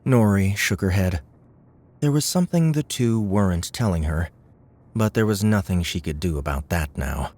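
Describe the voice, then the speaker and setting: A man's voice: sits low, lively intonation, normal speed, a little mellow. A man reading scripted fiction as an audiobook narrator.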